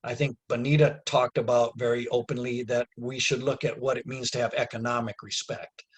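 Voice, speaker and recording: A man with a slightly husky voice speaks over a video call in an earnest, plain-spoken way. He talks like a community organizer closing a webinar, and he often rises into emphatic, raised passages. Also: mid-range voice, little pitch movement, brisk. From United States.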